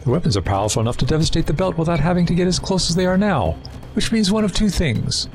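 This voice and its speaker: An actor in an audio drama playing a suave, erudite renegade Time Lord villain, wordy, condescending and persuasive. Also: male voice, a little high, animated, fast, music in the background, natural voice. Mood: sly, probing. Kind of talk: full-cast audio drama acting.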